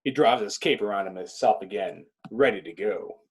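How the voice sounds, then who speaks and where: Husky man narrating an action script. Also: fairly low pitch, normal speed. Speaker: narrator reading stage directions.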